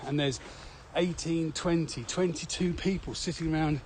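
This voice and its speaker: A man's voice: on the high side, moderate pace. A man talking casually as co-host of a podcast. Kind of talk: casual conversational podcast chat. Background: steady ambient noise.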